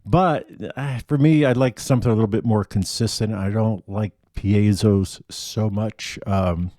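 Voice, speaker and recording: A middle-aged man talks casually with a deeper, mellow voice. He rambles with lots of ums and restarts. The sound is dry, with no room to it. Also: measured pace, dry recording. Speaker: gigging acoustic musician. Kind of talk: casual gear rundown.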